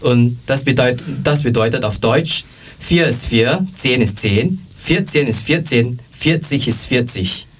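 Slow-talking man, distant noisy mic. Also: mid-range voice, lively intonation. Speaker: radio show participant. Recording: distant mic, clear background noise.

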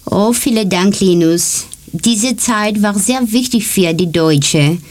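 A woman from Greece co-presenting a scripted segment in slow, deliberate learner German. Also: mid-range voice.

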